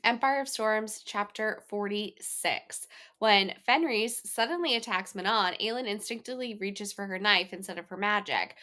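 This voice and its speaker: A woman's voice: mid-range voice, moderate pace, pushed and bright. A woman co-hosting a book club podcast, moving between casual discussion and prepared summaries. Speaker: podcast co-host.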